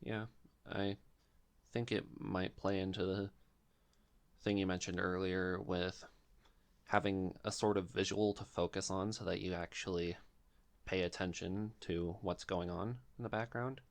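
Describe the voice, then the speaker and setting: A man's voice: low voice, fairly even intonation, unhurried, creaky. A man hosting a casual interview, speaking in an accommodating, slightly hesitant way. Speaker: podcast host.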